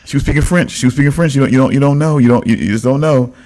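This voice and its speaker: A man's voice: mid-range voice, racing, darkish tone. A man co-hosting a podcast in casual chat, teasing and repeating himself. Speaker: podcast co-host.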